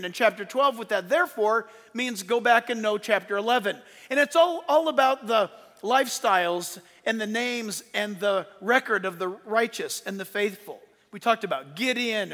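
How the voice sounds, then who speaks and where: A man preaching a sermon as pastor, emphatic, rising into loud bursts and dropping low for asides. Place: church sanctuary. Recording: long reverb of a hall.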